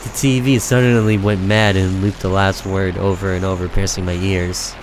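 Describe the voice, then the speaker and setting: Low-voiced man narrating a horror game. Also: level tone, normal speed, slight background noise, low-quality recording. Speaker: gaming video creator. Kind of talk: dramatic read-aloud narration of a horror visual novel.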